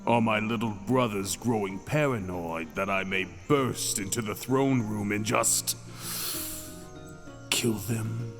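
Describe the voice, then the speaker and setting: A man voicing an ancient, mad captive dragon king for an intimate ASMR roleplay, seductive, possessive and menacing, with teasing, drawn-out phrasing. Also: fairly low pitch. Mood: teasing, languid. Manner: languid, teasing, drawn-out phrasing with dramatic pauses. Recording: long cavernous reverb, music behind.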